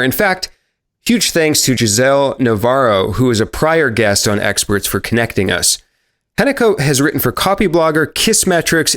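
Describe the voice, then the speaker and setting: A man's voice: medium pitch, a bit bright. A man hosting an interview podcast, asking friendly, upbeat questions. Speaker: podcast host.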